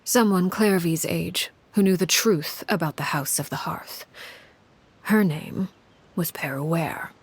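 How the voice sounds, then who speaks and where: A woman voice actor playing a composed, cold, commanding woman who narrates a grim past in a game, in a measured, grave, articulate manner. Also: lowish voice, husky, full character voice. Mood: grave, restrained. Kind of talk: scripted video game story narration.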